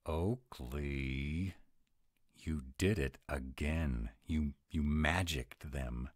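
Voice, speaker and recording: A man's voice: a bass voice, dry recording, studio quality, heavily acted. A man playing a grumpy, fussy, easily flustered were-dragon who loves food, in a dramatic, exasperated roleplay audio. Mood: sulky, puzzled. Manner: exasperated, dramatic, slow and emphatic. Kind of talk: one-sided romantic comedy roleplay audio.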